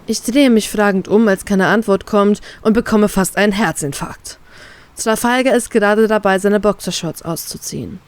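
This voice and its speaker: A woman reading her own first-person romance fan fiction with calm, steady narration. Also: medium pitch, normal speed.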